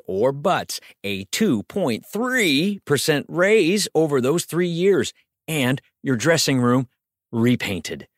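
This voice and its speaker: A man's voice: mid-range voice, no room sound, clean studio sound, pitched above the performer's own voice. A male narrator voicing a genial, eager talent agent with pushy, wheedling showbiz patter in an audiobook. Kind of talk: dramatized audiobook reading.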